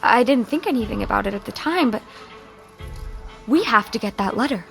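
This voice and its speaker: An American woman playing an earnest, grieving teenage high school girl in an audio drama, speaking haltingly and emotionally. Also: expressive, creaky, soft background music. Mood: urgent, determined. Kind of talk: naturalistic audio drama dialogue.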